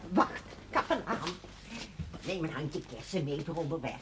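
A woman's voice: low voice, lively intonation, moderate pace. An actress playing a spirited, plain-spoken old Dutch village woman in her seventies in a television drama. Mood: cheeky, protesting. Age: 70s. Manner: homely, plain-spoken, cheeky. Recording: faint noise, television soundtrack.

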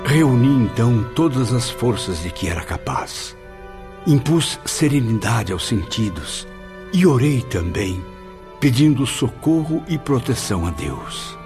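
A low-pitched, anguished spirit of a poet in a radio drama. He is earnest and proud, choosing formal, literary words. Faint music plays underneath. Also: animated, unhurried. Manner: formal, literary, solemn diction. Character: anguished male spirit of a suicide, earnest, wounded literary pride.

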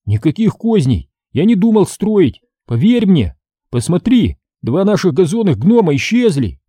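A man reading a storybook as an excitable, indignant boy who pleads urgently. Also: sits high, a little slow, husky, dry recording, studio quality, pitched above the performer's own voice, exaggerated voice.

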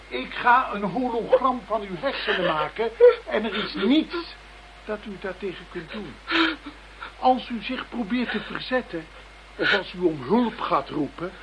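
An actor playing a coolly threatening, formal and deliberate masked blackmailer in a radio play. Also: medium pitch. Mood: sinister relish. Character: cold, polite, menacing masked blackmailer holding a captive. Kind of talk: Dutch radio play acting. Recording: noisy radio play recording.

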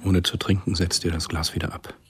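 A man narrating a radio spy thriller as a sober, observant storyteller, husky and measured. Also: deep voice, flat delivery, natural voice. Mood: sober, restrained. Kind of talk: scripted radio drama narration.

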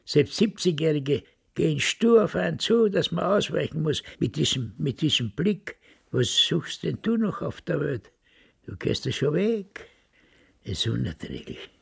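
A man's voice: on the high side, sing-song, measured pace, heavily acted. An older man acting a stubborn, grumbling man in his nineties in a radio play. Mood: indignant. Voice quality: gravelly, husky. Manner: emphatic, repetitive grumbling. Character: indignant, stubborn, vain, wistful 95-year-old man.

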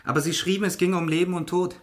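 An actor in a German radio drama playing a cold, indignant gentleman scientist, curt and stern. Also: high-pitched, flat delivery, fast, lightly acted. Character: cold, indignant male scientist, estranged former friend.

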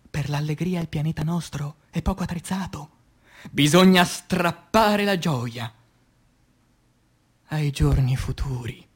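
A man, an actor, reciting poetry in a declamatory, impassioned theatrical style that swings between hushed and loud. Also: sits high. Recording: music under the voice. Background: music.

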